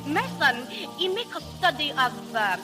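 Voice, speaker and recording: An actress playing a charming, flirtatious French woman in a 1930s radio drama, speaking coy, halting English with a French accent. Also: sits high, big pitch swings. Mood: smooth, scheming. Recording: old 1930s radio recording, faint music.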